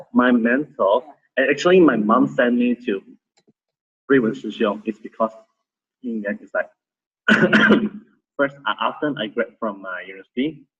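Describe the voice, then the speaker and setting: A man in his thirties with a Malaysian accent, sharing his story as a volunteer in a halting way with many restarts. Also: mid-range voice, fairly bright tone, husky, muffled recording. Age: 30s. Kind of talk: casual testimony sharing.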